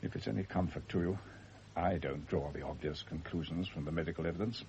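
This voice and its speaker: An actor playing a brisk, blunt but kindly family doctor in a radio drama, with a gravelly voice. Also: male voice, low-pitched, darkish tone, muffled recording. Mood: frank, reassuring. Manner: brisk, frank, fatherly. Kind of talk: period radio drama acting. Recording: hissy old radio drama recording.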